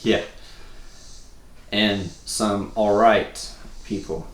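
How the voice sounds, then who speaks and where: A man's voice: lowish voice, steady tone, very slow. Pensive, echoey teenage boy read by a man. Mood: pensive, subdued. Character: pensive teenage boy from New York, quietly resigned. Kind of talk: table read of a film script. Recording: roomy echo with faint noise.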